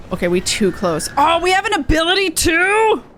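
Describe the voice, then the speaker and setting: Animated high-voiced woman streamer. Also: a little slow, a little hiss or hum. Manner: playful, expressive, gushing. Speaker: game streamer. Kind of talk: casual game stream chat. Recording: game music behind the voice.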